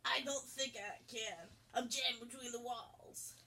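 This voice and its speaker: A woman plays a grumpy, put-upon man from a comic story. The voice is fairly high and sharp, with a grumbling, sarcastic delivery. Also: steady tone, slow, exaggerated voice. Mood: sullen.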